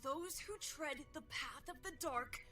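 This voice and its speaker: Casting: woman playing bold scrappy young adventurer, extremely high voice, assertive, music underneath, character demo. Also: a little slow, pushed and bright. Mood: curious, bold. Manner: assertive, go-get-em. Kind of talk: acted character demo reel.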